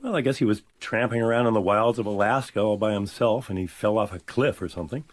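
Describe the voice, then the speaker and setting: An actor playing a laid-up, regretful stagehand in a radio mystery, speaking in a casual, downbeat and slightly hesitant way. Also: male voice, fairly low pitch, fast talker, close to an everyday voice. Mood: matter-of-fact. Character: laid-up, regretful male stagehand and hobby sculptor. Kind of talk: radio mystery drama acting.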